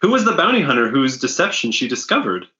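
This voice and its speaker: A man's voice: medium pitch, very animated, fast, pushed and bright, dull audio. A man reading an earnest, curious newcomer among pirates in a comic, plain and inquisitive.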